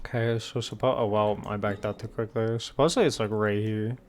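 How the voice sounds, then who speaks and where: A man's voice: fairly low pitch, steady tone, a little fast, a little mellow, a little hiss or hum. A man doing casual live commentary as a game streamer, playful and slangy, rambling as he plays.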